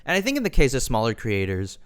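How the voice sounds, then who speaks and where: Smooth-voiced man giving careful opinions. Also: mid-range voice, huge pitch swings. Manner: measured, hedging with frequent personal qualifiers. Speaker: online video commentator. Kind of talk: opinion monologue.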